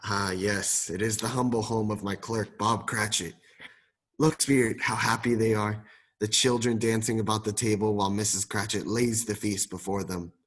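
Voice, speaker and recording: A man's voice: fairly low pitch, little pitch movement, normal speed, a bit bright, poor audio. A man playing a sour old miser, now humbled, speaking formally and earnestly in a radio play. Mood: moved, observing.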